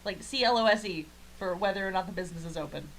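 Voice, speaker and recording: A woman's voice: fairly low pitch, fast talker, a bit bright, a little hiss or hum, rough recording. A woman co-hosting a podcast in casual chat, with a blunt, joking manner and a habit of swearing. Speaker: podcast co-host. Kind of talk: casual chat reviewing an anime.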